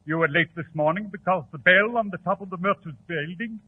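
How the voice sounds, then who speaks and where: Raspy, excitable bank official man. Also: high-pitched, a little fast. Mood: accusing. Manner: excitable, emphatic, accusing. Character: self-important, excitable male bank official. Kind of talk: 1940s radio drama acting. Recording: tinny, muffled old 1940s radio recording.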